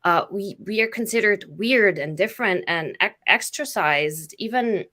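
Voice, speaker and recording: A woman's voice: mid-range voice, lively intonation, measured pace, pushed and bright. A woman from Slovenia whose first language is Slovenian, talking in a casual panel chat with many fillers and restarts. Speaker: facilitator on a panel.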